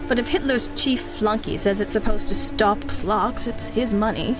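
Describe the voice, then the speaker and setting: A woman's voice: mid-range voice, normal speed, music in the background, lightly acted. An actress playing a cynical, ruthless female mercenary in a 1930s-style radio drama, speaking with arch, mocking condescension. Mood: coolly mocking.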